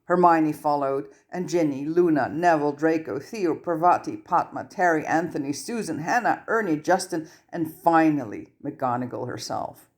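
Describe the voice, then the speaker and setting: A reader giving an expressive scripted narration of a story, as in an amateur audiobook. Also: medium pitch, moderate pace, few pauses. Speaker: fan fiction audiobook reader.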